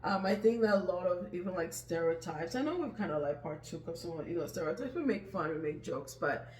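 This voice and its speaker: A man's voice: sits high, animated, a little fast, darkish tone. A man co-hosting a casual podcast conversation, reflective and agreeable, with many fillers. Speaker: podcast co-host. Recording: echoey room, faint background noise.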